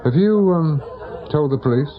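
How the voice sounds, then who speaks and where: A man's voice: on the high side, lively intonation, narrow, tinny audio, a faint crowd, lightly acted. British ex-cop played by a male actor in a radio drama, stern, pushy with questions, really up and down intonation. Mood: probing. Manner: insistent, deliberate questioning. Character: probing male former policeman turned investigator, stern and persistent.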